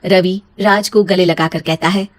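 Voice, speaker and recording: A woman's voice: lowish voice, fairly even intonation, a little slow. A woman storyteller giving a scripted narration of an emotional moral story.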